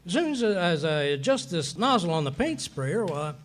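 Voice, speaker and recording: A man's voice: high voice, big pitch swings, moderate pace. A man playing a stubborn, boastful, bumbling middle-aged husband in 1940s radio comedy style, with folksy, slangy grumbling. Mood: wisecracking. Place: live performance of a radio play. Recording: hissy, noisy live recording.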